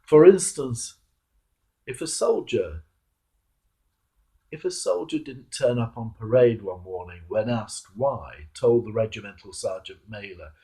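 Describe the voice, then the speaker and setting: Male cleric for a devotional reflection. Unhurried, soft-edged delivery, deliberate emphasis on key words. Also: lowish voice, animated. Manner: measured, emphatic, rhetorical questions. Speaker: Anglican clergyman reading a reflection. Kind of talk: devotional sermon, read aloud.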